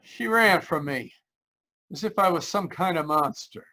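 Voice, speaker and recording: An actor playing a frightened, desperate young driver in an old-style radio drama, halting and repeating his phrases. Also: on the high side, lively intonation, pushed and bright, exaggerated voice. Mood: haunted, weary. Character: frightened, desperate young man driving cross-country, haunted. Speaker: actor narrating and playing the lead. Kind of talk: 1940s radio drama acting. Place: remote live performance over video call.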